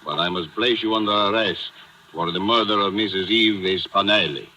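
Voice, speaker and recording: Man playing a low-voiced stern Cuban inspector. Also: fairly even intonation, normal speed, lightly acted. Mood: stern, formal. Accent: Cuban Spanish. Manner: formal, clipped interrogation. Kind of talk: 1940s radio drama acting. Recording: noisy old radio broadcast.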